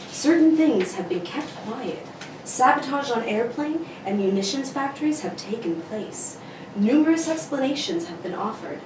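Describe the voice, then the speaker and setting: A woman's voice: medium pitch, close to an everyday voice. A woman playing a grave, formal masked government official in an amateur read of an old radio serial. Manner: solemn, formal, deliberate. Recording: roomy, distant mic, clearly noisy.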